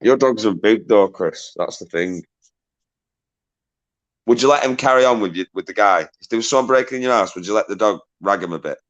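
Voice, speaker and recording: A man hosting a casual live stream, swearing freely, laughing a lot and bantering with callers in a loud voice. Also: fairly quick, lo-fi sound. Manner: says he has a deep, loud voice. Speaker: livestream host.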